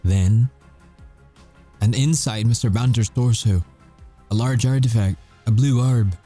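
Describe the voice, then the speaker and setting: A man playing a cocky but haunted werewolf soldier, telling his story in a wry, measured way with dramatic pauses, in an ASMR audio roleplay. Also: medium pitch, mellow, soft background music, natural voice. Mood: bitter, weary.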